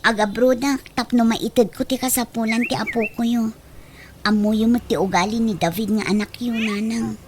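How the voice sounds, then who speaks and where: A woman's voice: on the high side, steady tone, moderate pace, slight background noise, close to an everyday voice. An actress playing an earnest, determined young mother in a radio drama, pleading with a Filipino accent.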